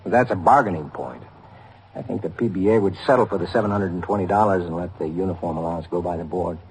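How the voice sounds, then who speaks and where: An actor playing a resigned, loyal police partner with an American accent, dry and matter-of-fact, in a vintage radio drama. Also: male voice, sits low, quick pace. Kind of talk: 1950s radio drama acting. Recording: noisy vintage radio recording.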